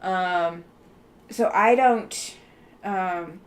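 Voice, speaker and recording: A woman's voice: lowish voice, very slow. A woman from the Southeastern US with a faint Southeastern accent, hosting a casual livestream and chatting back to viewer comments. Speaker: livestream host.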